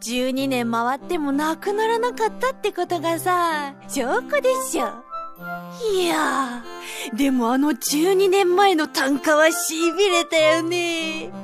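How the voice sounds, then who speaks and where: A woman playing an easygoing, gently teasing young male colleague in a radio drama, with a soft, casual manner. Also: extremely high pitch, animated, slow, exaggerated voice. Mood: warm, reassuring. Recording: music under the voice.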